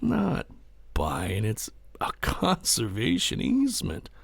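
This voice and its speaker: A man's voice: on the low side, huge pitch swings, slow pace, vocal fry, husky, no echo, full character voice. A man playing a brash, hard-drinking ranch owner in an audio drama, blustery, folksy and defiant. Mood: matter-of-fact, blustery. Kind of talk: full-cast audio drama acting.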